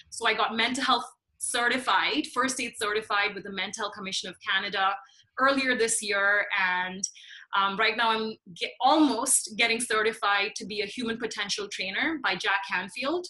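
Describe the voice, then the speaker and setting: A woman's voice: on the high side, sharp tone, low-quality recording. A woman in her late twenties from India and Nigeria, telling her personal story as an interview guest. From born in India, raised in Nigeria. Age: late 20s. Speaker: podcast guest, mental health advocate.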